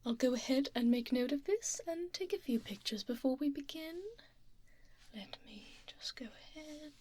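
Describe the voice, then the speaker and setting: A woman voicing a calm, courteous female doctor in an ASMR roleplay, soft and half-whispered, reassuring and unhurried, close to the microphone. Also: high-pitched, natural voice. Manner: unhurried, reassuring, murmuring little acknowledgements. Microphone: close binaural ear microphone.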